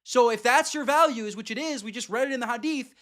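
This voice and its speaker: A man hosting a live stream, reacting to a clip with blunt, emphatic commentary and quick asides to his viewers. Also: very high voice, a bit bright.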